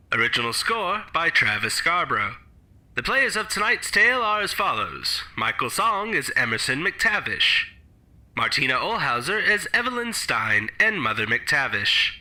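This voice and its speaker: A man's voice: mid-range voice, sharp tone, rough recording. A man reading scripted credits as the announcer of a show.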